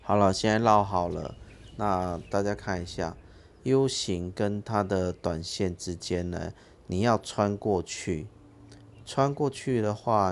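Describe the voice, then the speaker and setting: Low gravelly man explaining fishing gear. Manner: plain explanatory, with fillers. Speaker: fishing channel host. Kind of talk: casual how-to narration. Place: outdoors at a fishing harbour by boats.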